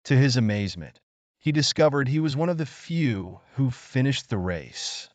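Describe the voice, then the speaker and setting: A man narrating a scripted children's story in an expressive, measured storytelling manner. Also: medium pitch, no room sound.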